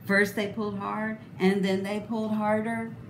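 A middle-aged woman reading a children's picture book aloud expressively, with deliberate, dramatic emphasis. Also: medium pitch, flat delivery. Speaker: storybook reader. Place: indoor room, voice a little echoey. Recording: roomy echo, clear background noise.

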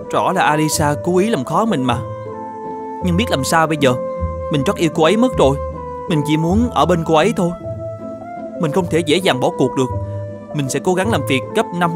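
A man voicing a hardworking, earnest young firewood seller in an animated fairy tale, speaking politely and expressively. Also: a little high, a little fast, close to an everyday voice. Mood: worried, determined. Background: background music.

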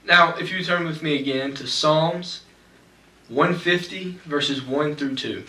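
A young man preaching a sermon from a church pulpit, reading scripture slowly and deliberately. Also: fairly high pitch, sharp tone. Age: young adult. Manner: measured, slows for scripture reading. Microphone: pulpit mic, far camera. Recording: echoey church from far off.

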